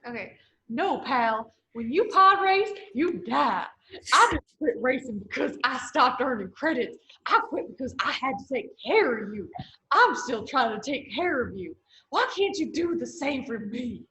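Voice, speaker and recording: Woman playing high-voiced, weary protective parent. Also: sing-song, a little slow, bright, forceful tone, exaggerated voice, rough recording. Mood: pleading, stern. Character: weary, protective ex-racer parent, stern and bitter. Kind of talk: table read of fan fiction script. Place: remote video call.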